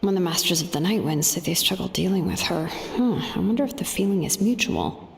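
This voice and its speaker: A woman voicing a laid-back young craftswoman in a video game, candid and wryly observant, with a casual, measured delivery. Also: fairly low pitch, animated, creaky, slight background noise. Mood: musing.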